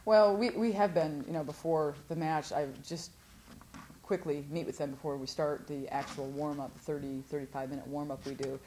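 A woman in her forties, a head volleyball coach, answering postmatch questions in an earnest, halting way with many restarts. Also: low voice, steady tone, warm tone, a little hiss or hum. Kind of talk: postmatch interview. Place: studio before a sponsor backdrop.

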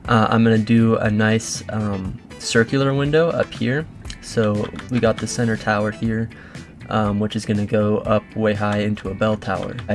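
A man with a fairly low voice gives casual gameplay commentary over music. He talks informally, thinking aloud as he explains what he is building. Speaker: host of a gaming let's play.

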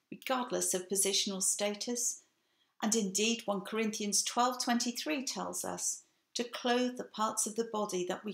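Older English woman, sixties, reading a home sermon to webcam; earnest, gravelly, roomy sound. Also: mid-range voice. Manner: measured, earnest delivery. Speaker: preacher. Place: home room with bookshelf, indoors. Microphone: webcam, seated facing camera. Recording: echoey room.

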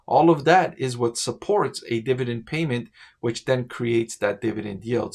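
A middle-aged man talking casually to camera as a finance commentator from a home office, often checking in with the viewer with a quick "right?". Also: mid-range voice, vocal fry, breathy edge. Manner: conversational, asks "right?" to the viewer.

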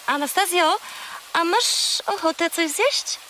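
A woman voicing a kind, slightly flustered young girl in a children's audio play, hesitant and gently bossy. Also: very high-pitched, expressive, takes it slowly, a little hiss or hum, full character voice, poor audio. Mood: gently scolding.

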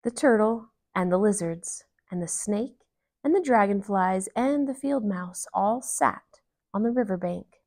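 Slow-paced woman reading a children's story. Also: medium pitch, lively intonation, darkish tone. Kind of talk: expressive read-aloud narration. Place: living room, indoors on a couch.

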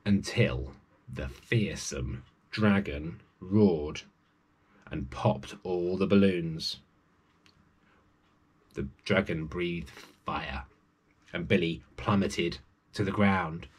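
A man's voice: low-pitched, extremely slow, long pauses, a little hiss or hum. A man in his forties reads a children's story aloud, adding chatty asides to his young listeners. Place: small room at home with bookshelves.

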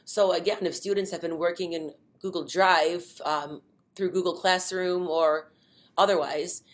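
Lower-voiced woman presenting a webinar. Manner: many fillers, unhurried explaining. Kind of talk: informal instructional webinar.

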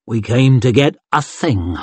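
A man's voice: medium pitch, animated, unhurried, breathy edge, no room sound. A man voicing a weary, blunt and sarcastic male leader of a war band in a fantasy audiobook. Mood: wry, firm. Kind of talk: audiobook character dialogue.

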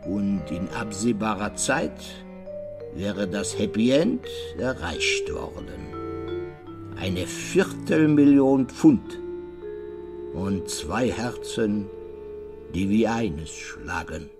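An actor playing a shrewd, self-assured older male detective in a German audio drama, speaking deliberately and theatrically with rhetorical questions. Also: lowish voice, big pitch swings, music in the background, heavily acted. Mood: wry, satisfied. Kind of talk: German radio play or audiobook acting.

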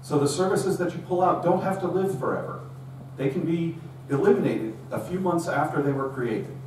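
A middle-aged man speaks to a live meetup audience in a relaxed, storytelling manner. His voice sounds distant and echoes heavily around the room. A faint hum sits underneath. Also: a little high, moderate pace. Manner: conversational storytelling, acts out quoted dialogue. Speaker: conference speaker. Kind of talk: live technical talk. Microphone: room recording from far camera.

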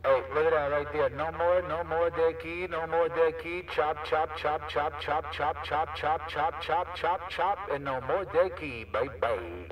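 A man's voice: fairly high pitch, steady tone, unhurried, hardly any pauses, a crowd in the background. A man chatting casually as a CB radio operator, with repetitive, slangy patter. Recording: distant CB radio transmission.

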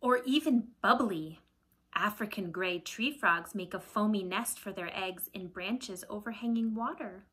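A woman in her thirties reading aloud to children like a teacher, deliberate and expressive, with a breathy voice that often drops to a hush. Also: mid-range voice, a little hiss or hum. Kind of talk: children's picture-book read-aloud. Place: small indoor room at home with shelves.

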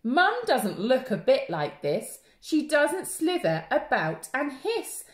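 A woman voicing an anxious little lost baby monkey for a storybook, slow and emphatic. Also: big pitch swings, exaggerated voice. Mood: upset. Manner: slow, emphatic, high and insistent. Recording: roomy echo.

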